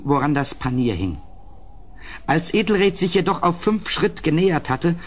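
An actor playing a horrified gentleman who tells a gothic tale in an audio drama, theatrical and urgent, swelling and falling hushed. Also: a little high, breathy edge, full character voice. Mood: alarmed. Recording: slightly noisy old studio recording.

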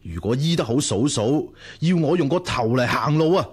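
An actor playing an eager, fawning hanger-on in a radio drama, chatty and obsequious. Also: male voice, medium pitch, expressive, moderate pace, breathy edge. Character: eager, flattering hanger-on, sworn younger brother. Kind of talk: Cantonese radio drama acting.

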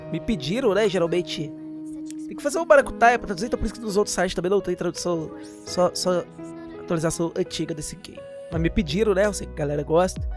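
A man's voice: high-pitched, fast talker. A Brazilian man giving a casual, slangy, jokey voice-over as host of a gaming channel. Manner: chatty, jokey, informal. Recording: voice-over with music behind.

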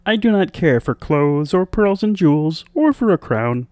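A man's voice: a little high, very animated, normal speed, a little mellow, no room sound, studio quality, voice pushed up. A man voicing a small male frog in a fairy tale, courteous, pleading and insistent. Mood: bargaining. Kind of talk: storybook character voice.

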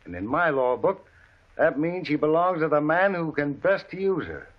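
An actor playing a short-tempered, bullying gang boss in an old-time radio Western, blunt, slangy and threatening. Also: male voice, a little high, big pitch swings, moderate pace. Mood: menacing. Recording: dull vintage radio recording.

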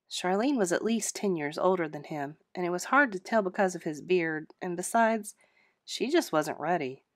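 A woman narrates a story from a script, audiobook fashion. Her voice sits a little low, in a dry space with no room sound. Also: no echo. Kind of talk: scripted audiobook-style read-aloud.

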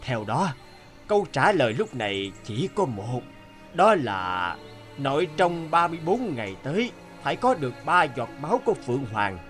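A man voicing a grave court sorcerer in a cartoon, deferential, hesitant and measured. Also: mid-range voice, sing-song, a bit bright, faint music behind.

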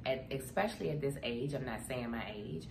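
Low-voiced, chatty, stuffy woman vlogger. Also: a little fast, gravelly. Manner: chatty, talks to viewers as "girl". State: stuffy nose. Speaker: vlogger answering viewer questions. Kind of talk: casual chat, storytelling. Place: indoor room, seated before framed print. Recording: echoey room with background noise.

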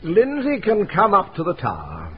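A brooding, half-mad laird in a radio drama. His fairly high voice swings widely and theatrically. The recording is dull and a little noisy. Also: wildly swooping pitch, normal speed. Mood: commanding.

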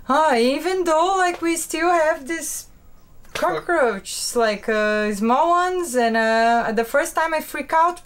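A woman in her thirties talking casually to a handheld camera inside a small wooden boat cabin, with lots of "like" fillers and easy laughter. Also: high voice. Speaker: sailing vlogger. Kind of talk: casual vlog talk to camera. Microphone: handheld camera at arm's length.

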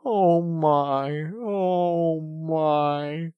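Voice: mid-range voice, very slow, clear, resonant tone, dry recording, lo-fi sound, voice pushed up. A narrator voicing a grumpy, injured mole from a storybook, plaintive, complaining and stubbornly literal, with emphatic delivery. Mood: miserable. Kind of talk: animated storybook character reading.

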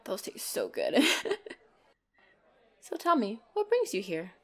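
A woman's voice: medium pitch, wildly swooping pitch, full character voice. A woman voicing a flirtatious, teasing female vampire in an intimate ASMR roleplay, playful and giggly with a hungry undertone. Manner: playful, giggly, slow and coy, dropping into breathy whispers. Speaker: roleplay voice performer. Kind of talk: ASMR roleplay, intimate one-sided dialogue.

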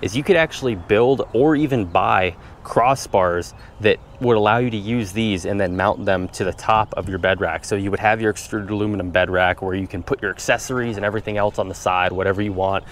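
Low-pitched male vlogger, methodical and practical how-to delivery, recorded outdoors with faint background noise. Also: brisk, few pauses. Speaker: vlogger showing his truck build. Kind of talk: casual how-to explanation.

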